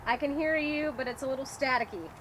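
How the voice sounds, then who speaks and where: A woman's voice: sits high, fast talker, very noisy. A woman helping with a casual walkie-talkie test outdoors, speaking in short exchanges. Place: outdoors by a pond.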